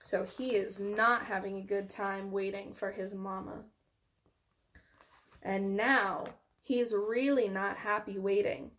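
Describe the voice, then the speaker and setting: A woman's voice: medium pitch, steady tone, a bit bright, low-quality recording. A young woman reading a picture book aloud to children expressively, drawing out key words and explaining it in simple terms. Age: young adult. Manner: slow, deliberate, drawn-out key words. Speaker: teacher reading a children's storytime.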